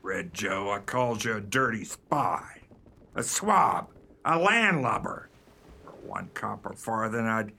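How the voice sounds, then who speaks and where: A man's voice: lowish voice, lively intonation, takes it slowly, vocal fry, sharp tone, husky, full character voice. A man playing a blustering, menacing pirate captain with salty seadog talk in a comic radio play. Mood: furious.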